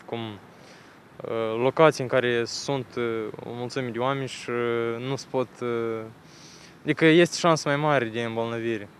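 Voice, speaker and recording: A man's voice: mid-range voice, steady tone, a little slow, slight background noise. A young man answering hesitantly as an interviewed passer-by, speaking into a handheld microphone outdoors. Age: young adult. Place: city park, outdoors. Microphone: handheld reporter microphone.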